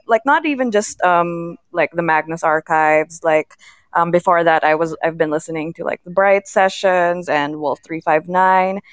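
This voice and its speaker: A young woman with a clear, slightly low voice in a dry, close recording. She chats earnestly and informally, peppering her sentences with "like". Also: dry recording. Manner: earnest, frequent "like" as filler. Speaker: fiction podcast creator and guest. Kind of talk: casual group chat.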